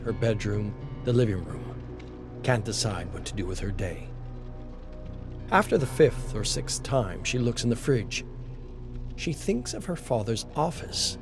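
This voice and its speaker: Male story narrator over music. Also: medium pitch. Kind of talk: scripted dramatic story narration.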